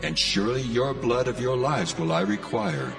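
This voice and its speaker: A man's voice: medium pitch, very animated, moderate pace, gravelly. A man playing God in a dramatized scripture reading, solemn and commanding, declaiming archaic commands. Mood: stern, commanding. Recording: long cavernous reverb, music underneath. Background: music.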